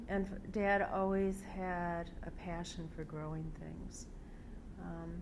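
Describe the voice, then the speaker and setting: A soft-voiced older woman with a lowish pitch tells her story in an interview, pausing and restarting often. Steady background noise hums under her voice throughout. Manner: halting, with many fillers and restarts.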